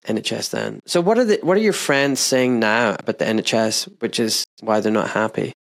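Man with a stuffy cold, relaxed host. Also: on the low side, brisk, creaky. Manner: relaxed, chatty, friendly questions. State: congested from a cold. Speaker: interview host. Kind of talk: casual interview chat.

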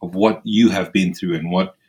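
A man's voice: low-pitched, fairly even intonation, darkish tone. A man giving thoughtful, formally phrased answers as a surgeon guest in an interview. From Kenya. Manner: measured, formal, articulate phrasing.

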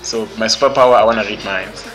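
A man's voice: on the low side, steady tone, quick pace, rough recording. A man from Zimbabwe with a Zimbabwean English accent, a podcast guest speaking candidly and bluntly, with many fillers and restarts. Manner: blunt, outspoken. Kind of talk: candid interview conversation.

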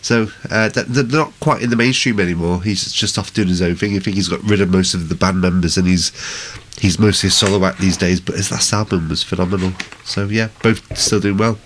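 A low-voiced man co-hosts a casual music podcast. He sounds snotty with a head cold and talks in an effusive, enthusiastic way. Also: fairly quick, slight background noise. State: congested with a cold. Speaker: podcast co-host.

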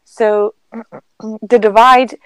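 A young woman from Zambia, a university student, whose accent sounds British with a faint African trace. She tells stories in an animated way and acts out the people she quotes. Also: on the high side, extremely slow, smooth, no echo, poor audio. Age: young adult.

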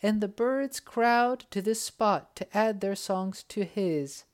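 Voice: mid-range voice, slow, clear, resonant tone, voice pushed up, natural voice. A reader putting on a gracious, formal princess. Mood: courteous. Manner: formal, courteous.

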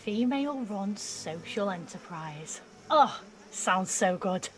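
An actress playing a blunt, giddy nineteen-year-old apprentice in an audio drama, with a cheeky, informal way of talking. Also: female voice, mid-range voice, expressive, slow pace, a little hiss or hum, low-quality recording. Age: young adult. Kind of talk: naturalistic audio drama acting.

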